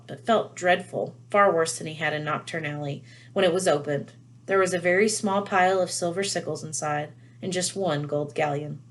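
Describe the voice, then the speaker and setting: A woman reading a children's novel aloud in an expressive storytelling style. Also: fairly low pitch. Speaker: read-aloud reader.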